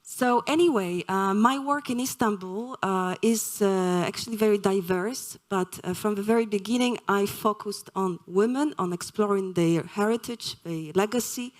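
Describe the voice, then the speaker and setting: A woman from Poland giving a live lecture, speaking slowly and hesitantly with many fillers. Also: mid-range voice. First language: Polish.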